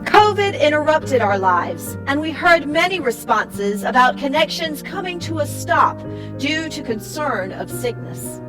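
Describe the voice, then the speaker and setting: A woman with a high voice narrates from a script for a church summary. She reads in a measured way, with music clearly playing behind her. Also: poor audio. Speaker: narrator, church project team member.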